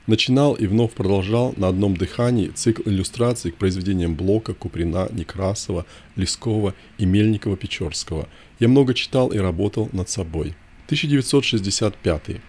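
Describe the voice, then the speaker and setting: Man, low-pitched voice, memoir narration, measured declarative delivery, short recited sentences. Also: a little mellow, slightly rough. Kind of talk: scripted first-person memoir narration.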